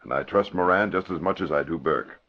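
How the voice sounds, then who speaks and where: Grave confiding official, deep and husky, dated radio sound. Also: male voice, brisk. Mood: grave, troubled. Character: grave, confiding male authority with a dilemma. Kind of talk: 1940s radio acting. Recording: muffled vintage radio broadcast.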